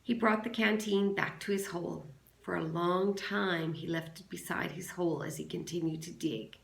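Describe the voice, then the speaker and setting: A woman teacher reading a children's novel aloud to a class in a measured, deliberate way. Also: on the low side, steady tone, gravelly. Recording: roomy, echoing sound.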